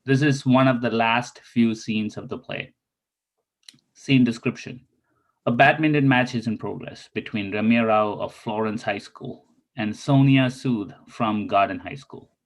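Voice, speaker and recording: A man's voice: medium pitch, measured pace. A man reading out a synopsis and stage directions as the narrator of a play table read.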